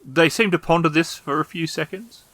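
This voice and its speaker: A man narrating a tabletop role-playing game as game master, with a measured, deliberate delivery. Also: on the high side, a bit bright, no echo.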